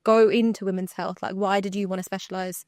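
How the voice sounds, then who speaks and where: A woman's voice: medium pitch, flat delivery, a little fast, darkish tone, no echo, studio quality. A young woman hosting a women's health podcast in a bubbly, chatty interviewing style. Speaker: podcast host. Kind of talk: casual podcast chat.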